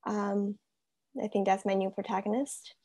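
A young woman speaks as a student in an online seminar, with little movement in her pitch. She is casual and hesitant, working out her thoughts aloud over a video call. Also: level tone, normal speed, mellow. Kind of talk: casual class introduction.

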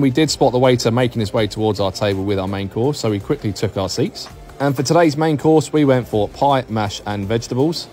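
A man's voice: mid-range voice, a little mellow. A man hosting a review vlog in a scripted voice-over, speaking phrase by phrase with falling endings in an upbeat manner. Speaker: hospitality review host. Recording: music behind the voice. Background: background music.

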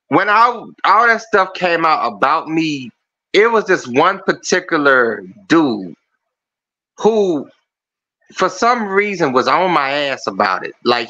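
A man with a feminine-sounding voice rants on a video call show. His tone is bright and his pitch sits a little high for a man. The call audio is muffled. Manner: profane, blunt and rambling. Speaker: caller. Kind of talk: casual call-in chat.

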